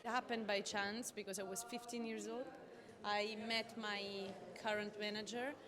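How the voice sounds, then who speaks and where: A woman's voice: mid-range voice, little pitch movement, moderate pace. Young Italian woman, crowd behind. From Italy. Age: 20s. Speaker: actress.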